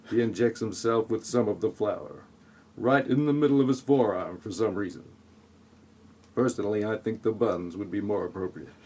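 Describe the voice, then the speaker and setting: Deadpan man narrating an audio play. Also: fairly low pitch. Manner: deadpan, sarcastic asides. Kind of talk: tongue-in-cheek scripted audio play narration.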